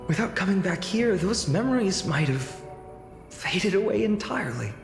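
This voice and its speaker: Melodramatic young bard-ish guy in a game, swoopy pitch, slow, echoey. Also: sing-song. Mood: nostalgic, fond. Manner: melodramatic, nostalgic. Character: dashing, sentimental young man with bardic flair. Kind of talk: fantasy video game voice acting. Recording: echoey reverb, music behind.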